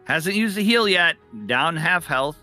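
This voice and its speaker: A man's voice: on the high side, animated, unhurried, sharp tone, faint music behind. A man giving conversational live game commentary as an esports co-caster.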